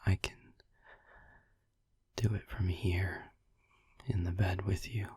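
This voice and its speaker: A man playing a tender, devoted vampire boyfriend in an ASMR sleep-aid roleplay, murmuring softly and gently in a breathy whisper. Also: low-pitched, flat delivery, slow pace, no echo, full character voice. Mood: tender, sleepy. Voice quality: soft, breathy, husky whisper. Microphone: close microphone, dry recording.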